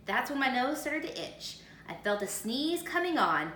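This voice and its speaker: An aggrieved, folksy storybook wolf, played by a woman with an American accent tells his side in a bright, highly animated voice. He is chatty and full of self-justification, heard in an echoey room. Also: moderate pace, exaggerated voice. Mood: chatty, self-justifying. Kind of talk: animated first-person storybook read-aloud. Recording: roomy echo with faint noise.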